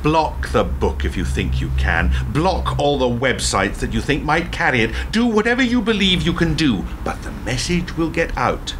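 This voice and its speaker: Guy acting former pm in a radio play, defiant n speechy, really animated delivery, bit of noise behind. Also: on the high side, normal speed, few pauses. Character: defiant, wry British former prime minister.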